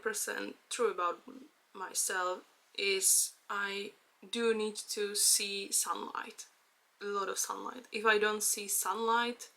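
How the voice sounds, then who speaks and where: Slow, bright-voiced Czech woman. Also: mid-range voice. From Czech Republic. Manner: hesitant, with frequent fillers and nervous laughs. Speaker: vlogger. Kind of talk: casual personal chat.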